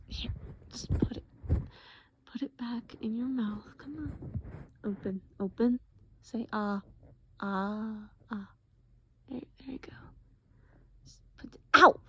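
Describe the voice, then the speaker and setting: A woman playing a gentle, patient boyfriend in acted-out roleplay, coaxing with pet names and simple, repeated reassurances. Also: fairly high pitch, no echo, dull audio. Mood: gentle, soothing, then hurt, scolding. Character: gentle, patient boyfriend soothing a groggy partner.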